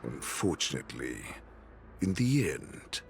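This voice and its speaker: An actor playing a menacing, arrogant wolf-like warlord in a video game, gravelly, pompous and theatrical. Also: male voice, extremely low pitch, sing-song, unhurried, soft background music, exaggerated voice. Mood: menacing, grandiose.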